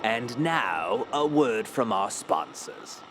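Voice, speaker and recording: An actor playing an ominous radio announcer, deliberate and portentous, in the style of an old radio show. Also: male voice, medium pitch, big pitch swings, poor audio. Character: slow, ominous male radio broadcast announcer. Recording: loud music drowning the broadcast voice. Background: loud music.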